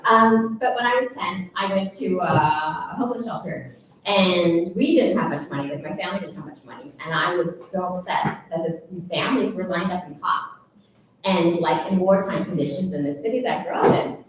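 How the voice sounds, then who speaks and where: A woman's voice: lowish voice, normal speed. A young adult woman speaking casually and candidly on a panel. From born in Indonesia, raised in Baltimore. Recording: echoey room from far off, faint noise.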